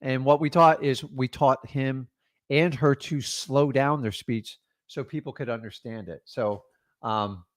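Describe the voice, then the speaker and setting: A man's voice: medium pitch, measured pace, no room sound. A middle-aged man coaching on real estate deals, talking in an emphatic, motivational, direct way. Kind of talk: energetic coaching talk.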